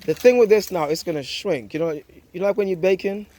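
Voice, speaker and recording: A man's voice: sits high, a little fast, crowd noise. A man with a Jamaican accent hosting a casual cooking vlog outdoors by a wood fire, chatty and easygoing, often saying "yeah, man".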